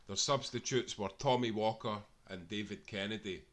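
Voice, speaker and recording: A man's voice: on the low side, steady tone, moderate pace, a bit bright. A Scottish man reading aloud a football history tale, earnestly and with small self-corrections along the way. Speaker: narrator.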